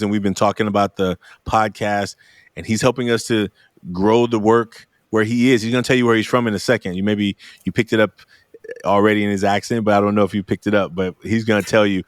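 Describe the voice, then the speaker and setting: Podcast host, man, middle-aged, low voice, friendly and informal, dry close recording. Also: no room sound. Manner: friendly, repeats himself while practicing a name. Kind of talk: casual podcast interview.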